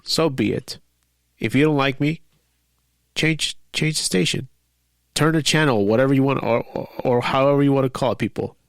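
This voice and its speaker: A man's voice: mid-range voice, fairly even intonation, moderate pace, no echo, clean studio sound. Stuffy-nosed man rambling on his podcast. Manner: rambling, repeats words, frequent fillers; defiant, frustrated. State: stuffy from a cold. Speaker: podcast host. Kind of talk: casual solo podcast monologue.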